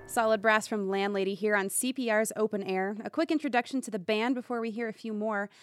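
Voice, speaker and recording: A woman's voice: mid-range voice, fairly even intonation, over loud music. A woman radio host introducing a band and chatting with them in a studio. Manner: brisk announcer, upbeat. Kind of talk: radio presenting and interviewing.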